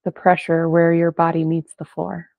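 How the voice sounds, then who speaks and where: A woman leading a guided meditation in gentle, unhurried phrases with long pauses between them. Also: lowish voice, monotone, vocal fry, dry recording. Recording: band-limited, phone-like sound, dry.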